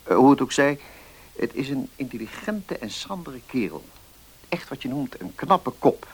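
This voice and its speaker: An actor playing a middle-aged notary in a Dutch radio play, measured and deliberate, kindly but firm. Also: male voice, medium pitch, sing-song, breathy edge, poor audio. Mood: thoughtful.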